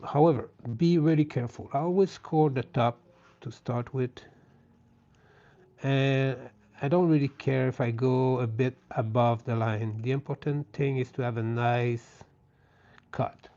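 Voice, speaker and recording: A man with a French Canadian accent, a native French speaker, chatting gently and casually as he hosts a live craft stream. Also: medium pitch, steady tone, a little slow. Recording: dull, lo-fi sound.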